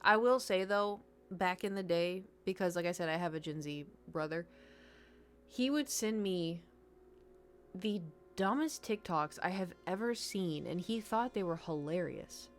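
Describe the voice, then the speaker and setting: A woman's voice: on the low side, measured pace. A woman from the United States, a gaming streamer rambling in casual chat and answering her chat. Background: background music.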